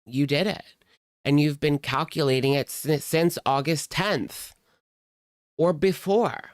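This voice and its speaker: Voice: mid-range voice, slow, dry recording, studio quality. A streamer giving casual live commentary in a blunt, sarcastic, conversational way. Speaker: reaction streamer.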